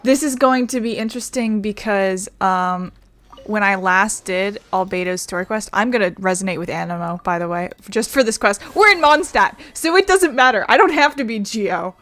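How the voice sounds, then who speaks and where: A woman's voice: medium pitch, normal speed, fairly bright tone. A woman game streamer giving casual, rambling, chatty commentary as she plays. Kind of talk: casual let's play commentary.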